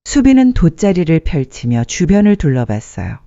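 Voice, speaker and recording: A woman's voice: low voice, sing-song, moderate pace, dark tone, dry recording, lo-fi sound. A woman narrating a sentimental story from a script, as a storyteller addressing listeners.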